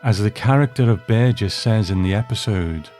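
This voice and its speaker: A man's voice: lowish voice, normal speed, warm tone. A man hosting and narrating from a script, reviewing and reading a story aloud. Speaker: host and narrator. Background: music.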